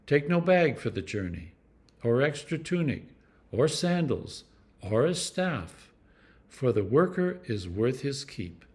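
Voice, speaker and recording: A man's voice: medium pitch, very animated, darkish tone. Man solemnly reading scripture. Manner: measured, deliberate phrasing.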